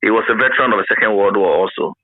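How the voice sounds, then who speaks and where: Low-voiced Ghanaian man on a phone-like line. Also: rapid-fire, dry recording. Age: 50s. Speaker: interview guest, former radio pioneer. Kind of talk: casual reminiscing interview answers.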